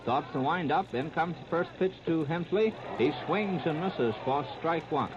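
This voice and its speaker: A man's voice: on the high side, animated, moderate pace. A man calling baseball play-by-play for 1930s radio, narrating in clipped, present-tense phrases. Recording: scratchy, noisy, muffled 1930s radio recording.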